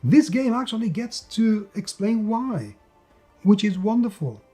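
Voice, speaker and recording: Higher-voiced man reviewing games, mellow tone. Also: big pitch swings, a little slow, soft background music. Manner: emphatic, repeats words for stress. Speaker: tabletop game reviewer. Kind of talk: enthusiastic unscripted review commentary.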